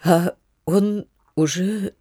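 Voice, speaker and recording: An actress playing a talkative, deferential landlady in an audio drama, with a halting, rambling way of telling things. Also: fairly low pitch, steady tone, warm tone, slightly rough, no echo, studio quality, exaggerated voice. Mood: sorrowful recounting. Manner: halting, rambling, repetitive storytelling. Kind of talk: Russian literary audio drama acting.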